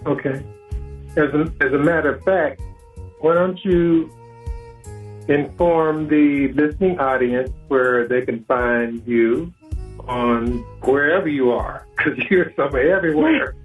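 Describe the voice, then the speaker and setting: A man's voice: fairly high pitch, a little slow, soft background music. Easygoing male radio host, tinny line. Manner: easygoing, agreeable, short affirmations. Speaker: radio talk show host. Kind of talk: radio interview.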